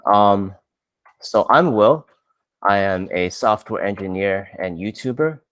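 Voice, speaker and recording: A man in his thirties from Canada, chatting casually on a podcast, relaxed and self-deprecating with lots of fillers. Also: on the low side, takes it slowly. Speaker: podcast co-host, software engineer.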